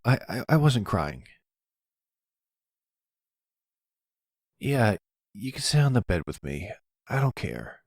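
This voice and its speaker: A sensitive young man of college age as a male actor plays him, with a husky edge and a very lively pitch. He talks haltingly and defensively, close and dry, in an audio drama style. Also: no room sound, full character voice. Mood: hurt, defensive. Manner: halting, stammering, higher and more boyish. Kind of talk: ASMR audio roleplay acting.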